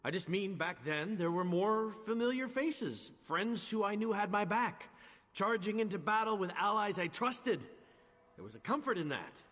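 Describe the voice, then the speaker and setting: An actor playing a chatty, wry, loyal adventurer man in a video game, with a husky voice and a casual, expressive manner. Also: sits high, normal speed. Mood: flustered, wistful. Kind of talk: video game character dialogue. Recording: long reverberant hall echo.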